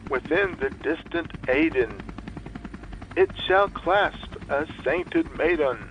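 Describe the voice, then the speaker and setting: Desperate, sorrow-laden man played by a male actor, drawn-out theatrical verse, wide swings, muffled recording. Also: high voice, creaky, slight background noise, heavily acted. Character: desperate, sorrowful man imploring a demonic bird. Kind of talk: dramatic poetry reading.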